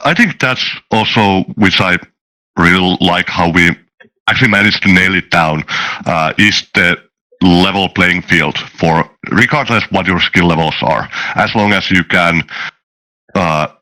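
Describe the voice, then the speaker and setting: A man talking casually as a game developer, in halting, stop-and-start phrases. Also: deep voice, sharp tone, rough recording. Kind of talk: casual chat.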